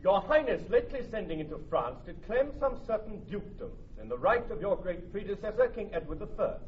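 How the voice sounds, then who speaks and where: Haughty French envoy, high swooping voice, ceremonious, mocking, Shakespearean radio drama. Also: male voice, sing-song, fairly quick. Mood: formal mockery. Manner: ceremonious, pointed. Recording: distant, dull, faintly noisy radio recording.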